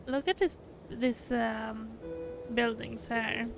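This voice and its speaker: A woman with a fairly high voice gives casual gameplay commentary at an unhurried pace. She muses aloud tentatively while loud game music plays behind her. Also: fairly even intonation, vocal fry. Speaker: game streamer.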